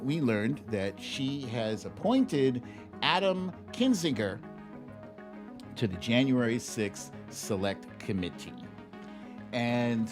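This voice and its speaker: A man hosting a live show with casual, conversational commentary, using playful emphasis and dramatic pauses. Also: medium pitch. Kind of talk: casual livestream commentary, reading viewer comments.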